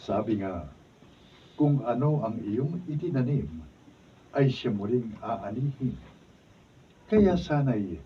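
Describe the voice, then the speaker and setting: Male radio drama announcer, Filipino accent, formal, measured, mid-pitched, dull distant recording. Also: animated. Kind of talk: scripted narration and closing credits. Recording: distant mic, dull noisy room recording.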